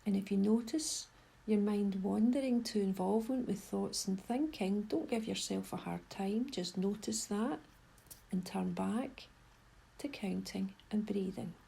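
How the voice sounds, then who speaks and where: A woman leading a guided meditation in a soft, breathy voice, giving gentle, unhurried instructions with pauses. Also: medium pitch. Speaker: mindfulness guide.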